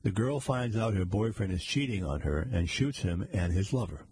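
A man voicing a sardonic man telling a story over drinks, with a husky voice and dry humor. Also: deep voice, lively intonation, normal speed, darkish tone, no echo. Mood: wry.

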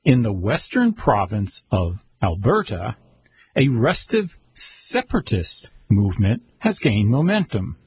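A man reading world news aloud at a slow, halting pace, stumbling over words and correcting himself. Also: medium pitch, husky, dry recording. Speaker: news reader.

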